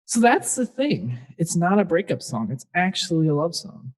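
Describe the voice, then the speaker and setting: Young guy rambling on a podcast, kinda high voice, casual. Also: sing-song, moderate pace, husky. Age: young adult. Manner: chatty, rambling with fillers and restarts. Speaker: singer-songwriter guest.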